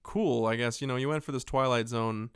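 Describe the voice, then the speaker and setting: A man's voice: mid-range voice, a little fast, clear, resonant tone, no echo, studio quality. A man co-hosting a podcast, chatting casually about films in a rambling, jokey way with some swearing. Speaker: podcast co-host. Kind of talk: casual film discussion chat.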